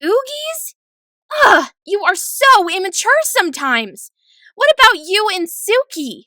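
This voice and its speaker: A woman playing a caring, easily exasperated teenage sister in an animated comic dub, very expressive. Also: very high-pitched, unhurried, no room sound, studio quality, exaggerated voice.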